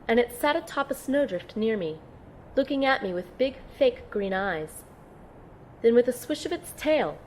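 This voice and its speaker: A woman's voice: a little high, a little hiss or hum, low-quality recording. A young woman playing a determined field medic and operative, narrating her mission in the first person for an audio drama. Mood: hushed, sombre.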